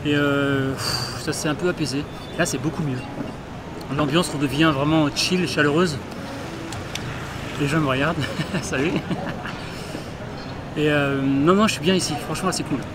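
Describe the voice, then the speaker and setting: A man's voice: on the high side, fairly even intonation, moderate pace, pauses often, background noise. A man walking outdoors in a city, chatting enthusiastically to his viewers in a casual travel vlog. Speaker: travel vlogger. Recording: music playing behind.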